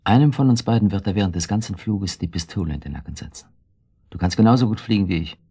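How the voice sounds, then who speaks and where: An actor playing a guilt-worn, brooding husband in a German radio drama, speaking in a halting, bitterly ironic way with a husky, gravelly voice. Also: male voice, deep voice, warm tone. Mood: wary.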